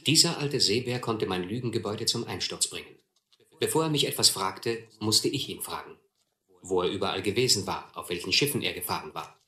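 Reflective ex-vagabond narrator, wry, forward crisp-edged voice, roomy radio play recording. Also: male voice, lowish voice, animated, brisk, sharp tone. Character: grown man looking back wryly on his vagabond youth.

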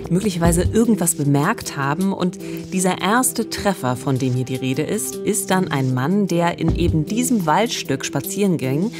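A woman's voice: on the low side, expressive, moderate pace, hardly any pauses, music in the background. A woman in her thirties co-hosts a true-crime podcast. She narrates conversationally, speaking close to a microphone in a studio. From eastern Germany. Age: 30s. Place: wood-panelled radio studio. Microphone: close studio mic with pop filter.

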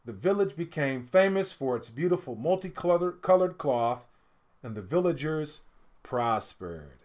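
Middle aged guy doing an animated storybook read-aloud, kinda high voice, really up and down. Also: a little slow. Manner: expressive, dramatic swells and hushed asides to listeners. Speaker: storyteller reading a picture book. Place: indoor home studio room.

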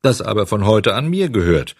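A cheeky little storybook puppet boy , read by a man in his own low register. He sounds husky and curt, defiant and ill-mannered. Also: big pitch swings, moderate pace, dry recording, clean studio sound, exaggerated voice. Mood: gruff, defiant. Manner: brusque, defiant. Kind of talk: storybook audiobook character voice.

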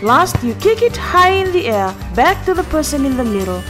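An actress playing a confident, friendly football star, encouraging and instructive, in an animated comic. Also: female voice, high-pitched, moderate pace, over music. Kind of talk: animated motion comic acting.

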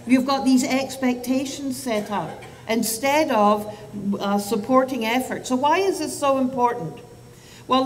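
A Scottish woman in her sixties, a physician giving a public talk to parents at a lectern microphone, animated and asking the audience questions. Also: a little high, slight background noise. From Scotland. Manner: animated, gestures with both hands, asks the audience questions. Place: lecture hall at a lectern. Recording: roomy hall sound from a distance.

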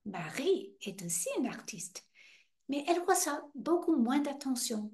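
A woman's voice: high-pitched, very animated, measured pace, a little mellow, heavily acted. A woman in her sixties with an American accent, performing a playful monologue as a chatty guide welcoming visitors, with teasing asides. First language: English. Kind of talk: theatrical monologue screen test. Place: indoor stairwell by a wrought-iron railing.

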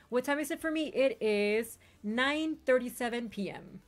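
A woman streamer whose first language is not English, chatting excitedly with raiders and telling stories quickly. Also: a little high, soft background music. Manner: excitable, bubbly, quick storytelling. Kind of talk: casual stream chat.

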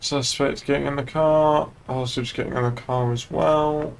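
A man at a gaming setup plays a police officer online. He talks in casual radio-style updates at a middling pitch, over faint game ambience. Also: fairly even intonation, normal speed, creaky. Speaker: live streamer playing a police officer. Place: indoors at a gaming setup, streaming. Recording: background noise from game ambience.

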